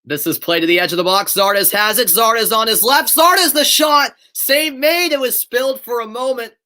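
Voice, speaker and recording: Young American male, amateur play-by-play caller, bright tense tone, excitable on chances, webcam in a bedroom. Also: very high voice, normal speed. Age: young adult. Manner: excitable build-ups on shots, chatty asides to viewers. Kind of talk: live play-by-play commentary mixed with chat. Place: bedroom at home.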